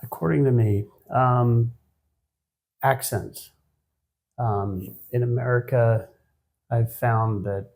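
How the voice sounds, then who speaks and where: A man in his fifties, a guest on a business podcast, answering in a measured, reflective way with frequent pauses and restarts. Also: on the low side, a little mellow, low-quality recording. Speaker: guest, managing partner of an accounting firm. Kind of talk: conversational podcast interview.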